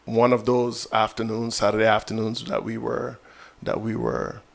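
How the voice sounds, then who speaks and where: A man, a physician guest in an interview, answering in a measured, reflective way with many fillers and restarts. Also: lowish voice, level tone, gravelly.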